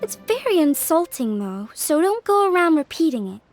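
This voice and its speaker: A woman's voice: extremely high pitch, big pitch swings, moderate pace. A woman voicing a gracious, humble young female artisan in a video game, polite and genteel as she speaks. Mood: storytelling. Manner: polite, self-deprecating, genteel. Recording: music behind the voice.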